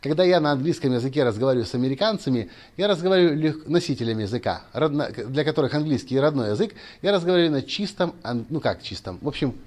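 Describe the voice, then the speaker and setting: A man's voice: medium pitch, expressive, fast talker, hardly any pauses, darkish tone. A man speaking straight to camera as a motivational speaker, earnest and lecturing, often restarting his sentences. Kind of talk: direct-to-camera monologue.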